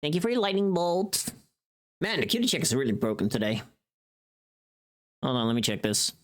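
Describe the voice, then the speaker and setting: Livestreamer, mid-pitched voice, swooping intonation, unhurried pace, casual, playful, teasing, rambling. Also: sing-song. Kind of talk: casual chat with live viewers.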